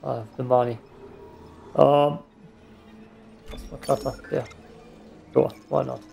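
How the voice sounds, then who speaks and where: A man's voice: mid-range voice, little pitch movement, takes it slowly, gravelly, dark tone, faint music behind. A man, a German speaker, joking and self-deprecating as he comments on his gameplay. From Austria. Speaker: let's play host. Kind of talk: casual gaming commentary.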